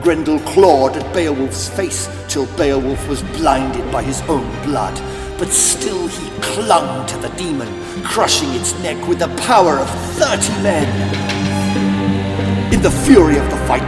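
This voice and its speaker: A man's voice: fairly high pitch, lively intonation, measured pace. A man narrating a children's audiobook as a dramatic, scripted storyteller. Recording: echoey, long hall-like reverb. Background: music.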